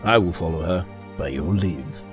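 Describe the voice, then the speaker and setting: A man playing a courteous, tactful royal advisor in an audio drama, with formal and measured phrasing. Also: sits low, over music, natural voice. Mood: gently probing.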